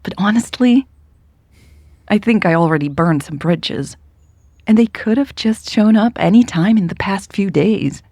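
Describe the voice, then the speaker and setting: Weary, dry, husky woman, acted by a narrator. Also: medium pitch, measured pace, warm tone, dry recording, voice pushed up. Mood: weary, apologetic. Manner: dry, sighing, tired. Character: Maya, weary dry-witted woman, pressured but loyal.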